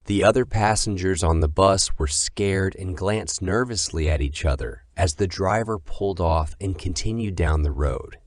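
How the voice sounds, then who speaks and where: A man's voice: low-pitched, moderate pace, few pauses, no room sound, studio quality. A man reading scripted horror story narration.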